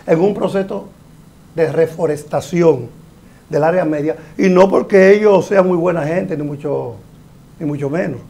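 A man's voice: a little high, vocal fry, dark tone. A middle-aged man, a hydraulic engineer explaining a mining project as a guest in a TV studio interview, speaking emphatically with a lapel mic. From Dominican Republic. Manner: explanatory, emphatic, gestures with hands.

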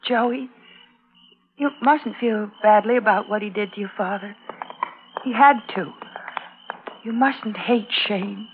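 Worried homesteader mom, slow dramatic delivery, old radio western, bit hissy. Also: female voice, mid-range voice. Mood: anxious, tender. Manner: earnest, dramatic. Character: anxious, pleading homesteader wife and mother. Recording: muffled, slightly noisy vintage radio recording.

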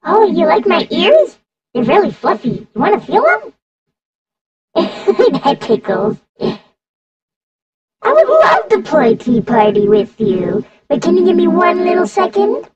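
Very high, singsong bunny girl. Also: big pitch swings, unhurried, pauses often, darkish tone, muffled recording, exaggerated voice. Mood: sweet, nurturing. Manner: singsong, cutesy, giggly. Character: energetic little animatronic bunny girl, sweet daycare host turning menacing. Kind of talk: character voice acting demo lines. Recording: echoey hall, distant from the mic.

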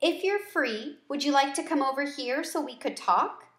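A kindly storybook schoolteacher, read by a woman. She speaks helpfully and conversationally, slightly raised in pitch, in an echoey room. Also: animated, normal speed, fairly bright tone. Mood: friendly. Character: kindly female schoolteacher advising and chatting. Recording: roomy home recording.

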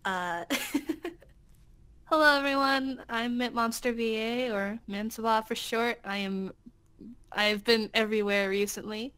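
A woman co-hosts an unscripted chat at a slow, unhurried pace. Her voice is fairly high. She is playful and quick to put herself down. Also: steady tone, fairly bright tone, smooth. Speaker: co-host, voice actor.

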